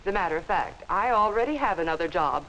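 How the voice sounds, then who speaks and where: Indignant American clerk, quick to take offense, swooping delivery, dated hissy instructional-film sound. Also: female voice, on the low side, animated, fairly bright tone, muffled recording. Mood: defiant, huffy. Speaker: actor in workplace instructional film. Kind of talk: 1950s instructional film acting.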